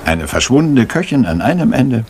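An actor playing a fussy, vain little detective, pompous and precise, in a German radio crime drama. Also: male voice, mid-range voice, very animated, moderate pace, a little mellow, a little hiss or hum. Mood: self-satisfied, musing. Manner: pompous, precise, sprinkles in French exclamations.

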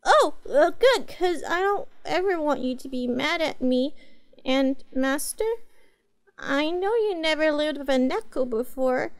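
High-pitched, cutesy catgirl, acted by a woman. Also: animated, a little slow. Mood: sheepish, pleading. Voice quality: cutesy, girlish. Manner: hesitant, apologetic, earnest, girlish. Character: cute, eager-to-please young catgirl pet. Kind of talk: scripted roleplay audio, addressing a master.

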